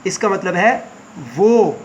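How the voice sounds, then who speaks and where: Man teaching words slowly, echoey room. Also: high voice, a little hiss or hum. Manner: slow, repetitive word-by-word explanation. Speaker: English teacher. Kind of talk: spoken English lesson for beginners.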